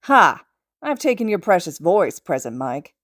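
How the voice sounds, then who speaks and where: A woman voicing a boastful, theatrical male villain in a story, loud and gloating. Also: fairly low pitch, big pitch swings, measured pace, dry recording, clean studio sound.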